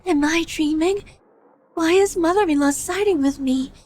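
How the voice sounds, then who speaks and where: An actress playing a timid, bullied young daughter-in-law in a dubbed period drama, soft-spoken and earnest. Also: female voice, very high-pitched, normal speed. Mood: puzzled.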